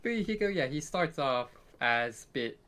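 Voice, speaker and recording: A man's voice: a little high, expressive, normal speed, bright, forceful tone. A man chatting casually as a podcast co-host, giving his opinions in an informal way. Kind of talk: casual chat about favourites.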